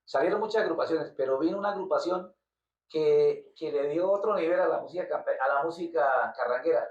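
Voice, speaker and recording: A man from Colombia, a musician being interviewed, talking casually and haltingly, often repeating words as he searches for them. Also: a little high. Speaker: guest musician. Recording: roomy, distant, dull lo-fi sound.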